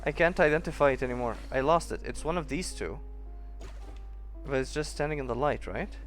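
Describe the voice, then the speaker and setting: A man's voice: fairly high pitch, a little fast, a bit bright. Casual male game streamer over music. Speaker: gaming streamer. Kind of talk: casual gameplay commentary, reading in-game notes aloud. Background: video game music.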